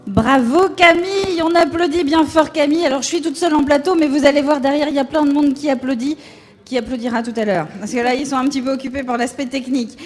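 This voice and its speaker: A woman's voice: high-pitched, quick pace. A woman hosting a live web TV show from a studio set, chatty and hesitant, with fillers. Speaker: web TV host.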